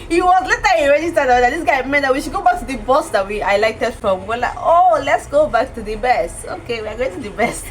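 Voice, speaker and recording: Chatty Nigerian woman, slightly high voice. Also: rough recording. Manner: chatty, laughs often while recounting. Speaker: travel vlogger. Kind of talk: casual talk to camera.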